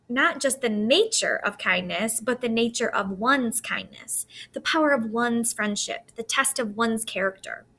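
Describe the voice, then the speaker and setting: Earnest, bright-toned school principal voiced by a woman. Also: on the high side, heavily acted. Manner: earnest, measured, oratorical. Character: dignified male school principal giving a ceremonial speech. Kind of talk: formal speech in a storybook reading.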